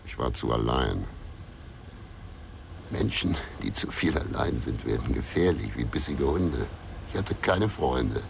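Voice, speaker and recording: An actor playing a gruff, brooding investigator in a radio drama, speaking tersely. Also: male voice, extremely low pitch, very animated, a little slow, background noise, heavily acted. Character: gruff, brooding male investigator, haunted by a lonely childhood.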